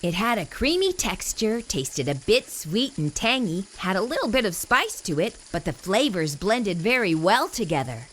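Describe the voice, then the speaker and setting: A woman reading a children's picture book aloud as a storyteller, in an animated way with theatrical emphasis. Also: medium pitch, normal speed, few pauses, slight background noise, low-quality recording.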